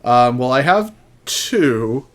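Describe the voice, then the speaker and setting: A man's voice: medium pitch, big pitch swings, slow pace. A man speaking as a podcast guest, chatting casually and reading passages from a book aloud. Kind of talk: casual chat with read-aloud book excerpts.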